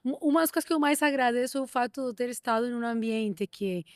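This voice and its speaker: A woman hosting a podcast interview, asking measured, thoughtful questions with frequent restarts. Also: fairly high pitch, dry recording, studio quality. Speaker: podcast host. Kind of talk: interview conversation.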